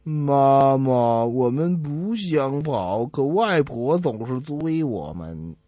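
A man's voice: medium pitch, animated, takes it slowly, mellow, no room sound, highs cut off. A man voicing an innocent, whiny little boy in radio comedy jokes. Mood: innocent, aggrieved.

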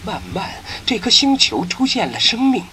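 A man narrating a science-fiction radio drama in a solemn storytelling manner, with dramatic pauses. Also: very high-pitched, very animated, very noisy.

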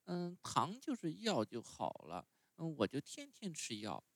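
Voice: medium pitch, wildly swooping pitch, a little slow, no echo, voice pushed up, exaggerated voice. A storyteller voicing a stubborn, whining wooden puppet boy who is sick in bed. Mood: whiny. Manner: whining, pleading, wailing.

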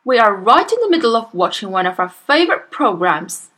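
A woman's voice: mid-range voice, very animated, a little fast, a bit bright. A woman voicing a brash, impatient middle-aged father who snaps and blusters. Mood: irritable. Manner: snappy, blustering.

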